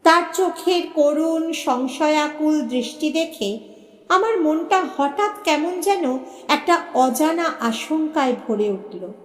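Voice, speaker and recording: A woman narrating a story in an expressive, measured, dramatic audiobook style. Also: high-pitched. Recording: echoey with long reverb.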